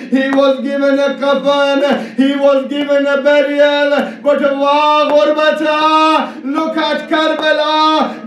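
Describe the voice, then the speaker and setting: An echoing, distant recording of a man delivering a religious mourning sermon. His voice is very high for a man, and he declaims slowly in drawn-out, grieving phrases. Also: slight background noise. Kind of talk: impassioned Shia lament sermon. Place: large indoor congregation hall. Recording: echoey hall, distant microphone.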